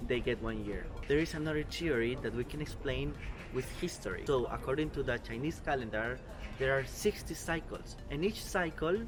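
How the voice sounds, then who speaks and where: Young man explaining over background music. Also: medium pitch. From Costa Rica. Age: 20s. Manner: earnest, careful non-native English with small grammar slips. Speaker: vlogger. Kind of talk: explanatory travel vlog. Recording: music playing underneath.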